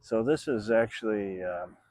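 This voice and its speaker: Rambling sixties man vlogging from his bike, low-pitched, dry close sound. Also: measured pace, darkish tone, no room sound. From Florida, USA. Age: 60s. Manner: rambling, chatty, with many fillers. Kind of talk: casual vlog narration while riding an e-bike. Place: outdoors on a bike trail.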